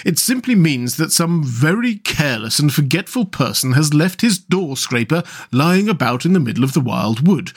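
A man voicing a self-pitying, literal-minded male mole in a storybook, in a plaintive, pettish, deliberate way. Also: a little high, big pitch swings, husky, exaggerated voice. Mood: grumbling, indignant. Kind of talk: storybook character voice.

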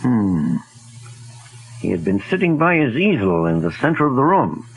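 Courteous radio detective, lively intonation. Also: male voice, medium pitch, creaky, low-quality recording. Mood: probing, courteous. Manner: polite, measured, inquisitive. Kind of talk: 1940s radio detective drama acting. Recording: old 1940s radio recording.

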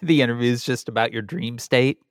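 A man co-hosting a podcast, speaking in slow, teasing casual banter with frequent ums. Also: mid-range voice, no room sound, clean studio sound. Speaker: podcast co-host. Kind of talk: casual chat.

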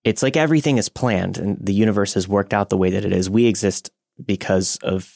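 A man's voice: fairly low pitch, fairly quick, creaky, darkish tone, no echo, clean studio sound. A man co-hosting a podcast, chatting casually and reflectively in an informal, musing way. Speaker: podcast co-host. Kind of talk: casual reflective chat, reading listener stories.

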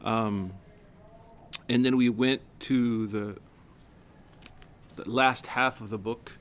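Deep-voiced man with a cold, teaching. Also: steady tone, takes it slowly. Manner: conversational, with many fillers and restarts. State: has a cold. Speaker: religious study circle teacher. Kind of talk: casual religious lecture. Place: community center.

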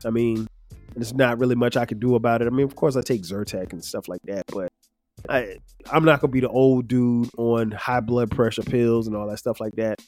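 A man in his fifties hosting his own podcast, talking in a relaxed, rambling way with lots of fillers, his voice a little nasal and stuffed-up. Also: medium pitch, brisk, faint music behind. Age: 50s. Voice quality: slightly nasal, stuffed-up from sinus trouble. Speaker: podcast host. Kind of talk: casual solo podcast reflection.

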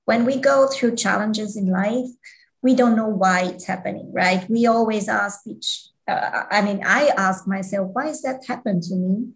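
A woman's voice: mid-range voice, creaky, rough recording. A woman from Switzerland, an interview guest, speaking with frequent restarts and non-native grammar. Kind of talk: casual interview talk.

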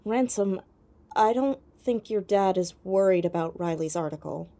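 A woman playing a sharp, persistent fourteen-year-old girl detective in an audio drama, questioning directly. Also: mid-range voice, normal speed, natural voice. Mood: probing, assertive. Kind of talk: audio drama acting, naturalistic.

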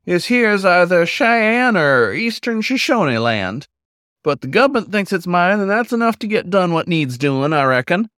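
Man putting on a high-pitched, folksy old wolf. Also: very animated, unhurried, fairly bright tone, clear, resonant tone, dry recording, clean studio sound, exaggerated voice. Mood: friendly, folksy. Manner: folksy, rustic, chatty. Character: cheerful, folksy old male wolf, shaggy landowner.

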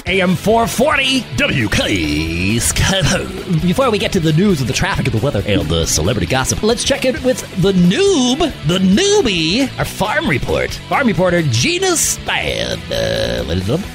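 A man's voice: high voice, wildly swooping pitch, normal speed, few pauses, over music. A man playing a brash small-town AM radio host with an announcer's delivery.

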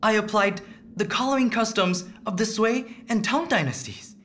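A woman playing a passionate, devoted young male painter in a radio drama, earnest and halting. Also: mid-range voice, a bit bright, close to an everyday voice.

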